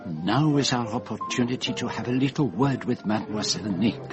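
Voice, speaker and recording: An actor playing a shrewd, courteous and pompous little Belgian detective in a radio drama, with a French-speaking Belgian accent and precise, theatrical phrasing. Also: male voice, medium pitch, a little fast, music in the background, lightly acted. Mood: confiding. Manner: precise, formal, theatrical, teasing.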